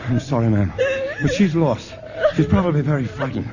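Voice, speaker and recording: An actor playing a frantic man shouting in search of his missing girl, in a 1950s radio drama. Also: mid-range voice, sing-song, moderate pace, over a noisy crowd, natural voice. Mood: anguished. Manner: shouting names, urgent and anguished. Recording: muffled old radio broadcast with loud hiss.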